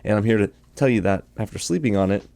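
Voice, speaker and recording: Low mellow guy getting over a cold, chatty movie review to his viewers. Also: fast talker, creaky. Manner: enthusiastic, chatty, addresses viewers directly. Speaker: movie reviewer, channel host. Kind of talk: casual movie review to camera.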